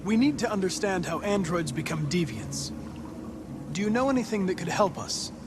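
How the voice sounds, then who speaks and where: An actor playing a composed young male android detective in a game cutscene, measured and polite. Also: high voice, expressive, background noise. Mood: controlled. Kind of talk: video game cutscene acting.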